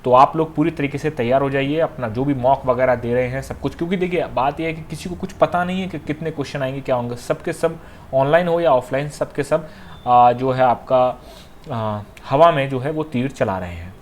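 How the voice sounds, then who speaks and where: A man's voice: medium pitch, a little fast, a little hiss or hum. A man gives informal exam advice to students as a coaching teacher, instructively repeating key points and checking in often.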